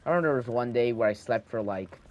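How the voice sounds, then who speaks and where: Teen guy, slight lisp, mid-pitched, meandering gamer ramble into a handheld mic. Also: fast, darkish tone. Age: teens. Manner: meandering, thinking aloud, frequent restarts. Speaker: gaming channel host. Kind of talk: rambling casual talk over gameplay. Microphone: handheld microphone.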